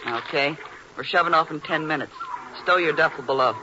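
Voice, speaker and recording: A woman's voice: low-pitched, a little fast, lightly acted. Actress as a tough riverboat woman, muffled old radio. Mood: brusque. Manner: blunt, slangy, hard-boiled. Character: tough, cynical, shrewd female riverboat skipper. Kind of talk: 1950s radio drama acting. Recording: noisy muffled 1950s radio recording.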